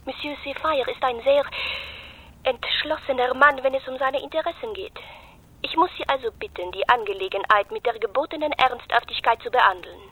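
Radio play: imperious businesswoman, French accent, formal and measured, rough-edged but bright tone. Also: high voice, poor audio. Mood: coolly insistent. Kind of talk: radio drama acting.

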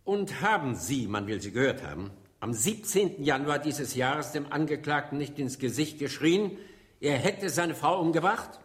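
Pointed, high-pitched male prosecutor. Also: expressive, normal speed, a bit bright. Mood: formal, probing. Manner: formal, pointed, ironic cross-examining. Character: sharp, insistent male prosecutor in a courtroom. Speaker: actor in radio drama. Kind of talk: German radio drama acting.

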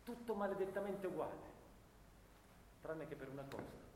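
An actor playing a grieving, war-weary young soldier in a solemn, measured theatrical monologue. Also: male voice, on the high side, a little mellow, natural voice. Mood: grief-stricken. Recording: echoey stage hall, faint noise.

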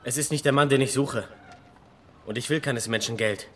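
An actor playing a grim, haunted sailor bent on revenge, terse and intense, in a radio play. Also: male voice, mid-range voice, moderate pace, a bit bright, close to an everyday voice. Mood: grimly resolved. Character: grim, vengeful stocky sailor, hard and haunted. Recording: long hall reverb, faint background noise.

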